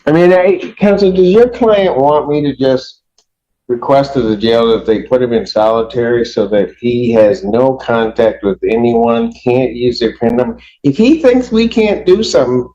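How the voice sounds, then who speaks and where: An American man speaking as a judge from the bench, stern and admonishing, with halting repetitions. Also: medium pitch, warm tone. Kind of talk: speaking from the bench in a court hearing. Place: courtroom. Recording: slightly dull courtroom audio.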